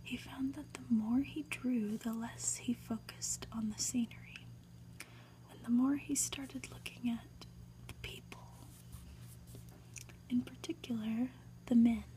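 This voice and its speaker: A woman reads a storybook in a hushed ASMR whisper, slow and gentle. Her voice is soft-edged and mellow, with measured phrasing. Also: a little high, fairly even intonation, low-quality recording. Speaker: ASMR reader.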